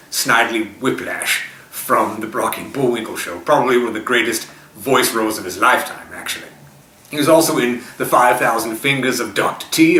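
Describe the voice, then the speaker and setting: A man's voice: fairly low pitch, sharp tone. Man hosting, roomy and distant. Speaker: host of retro TV show. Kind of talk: casual film-trivia presenting. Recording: roomy, distant mic with faint noise.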